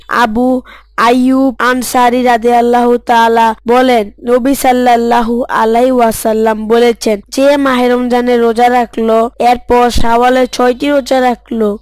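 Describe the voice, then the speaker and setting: A woman's voice: a little high, a little mellow, smooth, no room sound, lightly acted. A woman voicing a dutiful young son, a pious madrasa pupil, reciting carefully in a scripted Islamic story.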